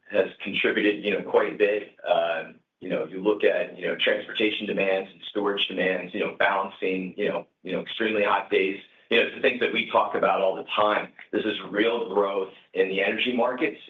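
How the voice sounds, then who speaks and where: A man speaking as a company executive, answering questions on an earnings call in a halting way peppered with "you know". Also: fairly low pitch. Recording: echoey, distant, phone-like conference call.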